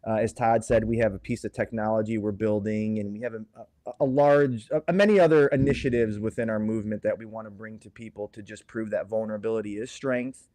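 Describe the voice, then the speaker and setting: A man's voice: on the low side, fairly even intonation, fast, dark tone, dry recording. A man speaking candidly as a guest in an interview, telling personal things. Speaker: podcast guest, co-founder of a support movement.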